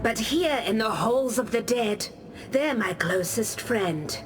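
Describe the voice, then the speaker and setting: Aloof scholarly warrior spirit, game monologue, high female voice, unhurried and deliberate, music behind. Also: expressive. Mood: guarded, reflective. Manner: measured, reflective, deliberate storytelling. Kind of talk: scripted game character monologue.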